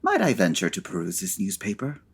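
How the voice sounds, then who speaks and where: A man playing a suave, wry gentleman sleuth in an old-time radio comedy, urbane and dryly sarcastic. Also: deep voice, wildly swooping pitch, brisk, creaky, breathy edge, full character voice. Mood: amused, urbane.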